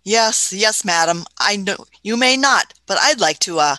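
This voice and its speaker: A woman's voice: mid-range voice, lively intonation, a little slow, pushed and bright, no room sound. A woman playing a canny, politely skeptical traveling doctor in a radio play, hesitant and old-fashioned in manner. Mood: wry, probing.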